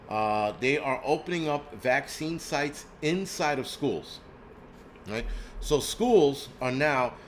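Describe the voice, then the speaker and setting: A man's voice: medium pitch, a bit bright, a little hiss or hum. Blunt, profane New man in his forties. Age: 40s. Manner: rambling with frequent restarts. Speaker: host. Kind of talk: casual live-stream talk.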